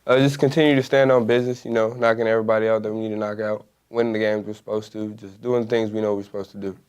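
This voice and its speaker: A man's voice: on the low side, flat delivery, fast, a little mellow, clean tone. A young man, a college basketball player, answering interview questions in a laid-back way, full of "you know" and "like". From Las Vegas, Nevada. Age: young adult.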